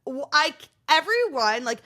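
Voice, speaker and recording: A woman's voice: high voice, big pitch swings, measured pace, bright, forceful tone. A young Canadian woman with a Canadian accent, hosting a podcast and chatting casually and rambling with lots of "like" and restarts. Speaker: podcast host. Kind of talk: casual solo recap chat.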